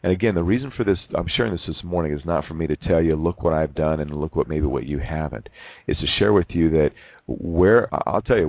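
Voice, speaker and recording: A man in his forties, hosting a reflective personal monologue, who talks haltingly with many restarts and repeated words. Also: extremely low pitch, no echo. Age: 40s. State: losing his voice from overwork. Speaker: podcast host. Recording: narrow phone-like sound.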